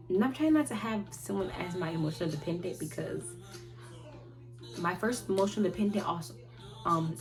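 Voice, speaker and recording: A woman in her twenties answering viewer questions in a rambling, halting way, often restarting and trailing off. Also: on the low side. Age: 20s. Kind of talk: casual chat. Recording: loud music playing behind her.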